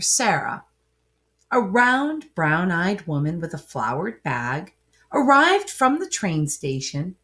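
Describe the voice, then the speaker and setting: A woman reading a children's storybook aloud in an expressive, dramatic way, with asides to young listeners. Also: lowish voice. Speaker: picture book reader.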